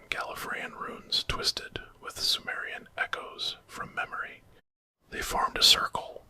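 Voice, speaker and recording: A man narrating a horror story in a breathy, unvoiced ASMR whisper, reading dramatically. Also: very high-pitched, sharp tone, rough recording.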